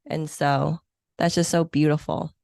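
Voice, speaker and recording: Low-pitched woman guest, upbeat and reflective, lots of um and like, dead dry sound. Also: level tone, unhurried, gravelly, darkish tone, dry recording. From born in Hong Kong, grew up in the U.S. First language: Chinese or Vietnamese. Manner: enthusiastic, reflective, uses many fillers. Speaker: senior meeting planner, podcast guest. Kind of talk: conversational interview.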